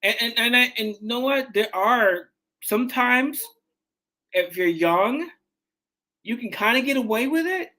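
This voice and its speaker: A man's voice: very high-pitched, expressive, measured pace, pauses often, sharp tone. A man in his forties, a fitness trainer guest in a casual interview, talking animatedly with many restarts and saying "man" and "dude". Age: 40s.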